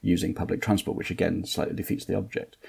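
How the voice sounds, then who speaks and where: Deep gravelly northern English man. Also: quick pace. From Manchester, northern England. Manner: explanatory, steady, lots of fillers. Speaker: guest, transport planner. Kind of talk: podcast interview, explaining.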